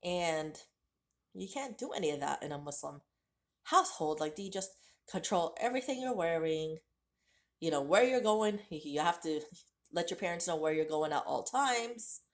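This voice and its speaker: Lively, low-voiced woman vlogger. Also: moderate pace. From United States. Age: late 30s. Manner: candid and conversational, direct address to viewers. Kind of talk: personal storytelling to camera.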